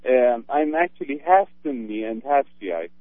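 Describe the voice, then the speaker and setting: A man's voice: mid-range voice, sing-song, normal speed, dry recording. A man from Iraq, a radio interview guest who speaks hesitantly with many fillers. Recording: dry, phone-like narrow sound.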